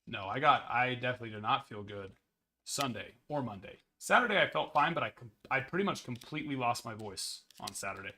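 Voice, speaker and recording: A man hosting a sports card break livestream, chatting casually with viewers in a slightly hoarse voice. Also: animated, a little fast, bright, forceful tone, a little hiss or hum. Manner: chatty, rambling, upbeat sales pitches.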